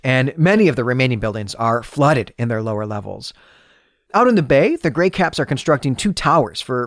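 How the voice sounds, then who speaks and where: A man's voice: medium pitch, no room sound, clean studio sound. A man hosting a podcast, giving book commentary in an explanatory, emphatic way. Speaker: podcast host.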